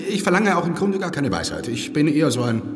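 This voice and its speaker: An actor playing a cowardly, simple, pleasure-loving companion in a radio drama, nervous, hesitant and comic. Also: male voice, on the high side, big pitch swings, moderate pace. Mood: wary, evasive. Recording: long reverberant hall, faint music behind.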